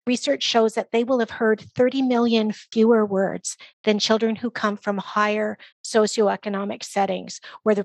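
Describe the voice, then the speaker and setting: A woman speaking as a guest expert, giving measured, articulate explanations in an interview with emphasis on key phrases. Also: medium pitch, no room sound. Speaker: guest expert, early literacy organization leader.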